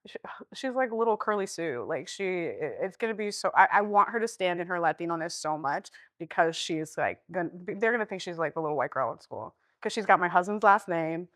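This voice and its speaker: A woman in her thirties from the Southeast US, chatting in an interview and acting out quotes with big jumps in pitch. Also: expressive, a little fast, a bit bright, no room sound, clean studio sound. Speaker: guest actor. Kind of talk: casual interview chat.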